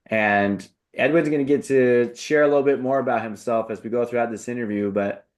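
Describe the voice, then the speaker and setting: A man's voice: medium pitch, fairly quick. Male interview host, mid-range voice, informal and encouraging, chatting like a supportive buddy. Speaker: interview host and application coach. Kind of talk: casual, upbeat interview chat.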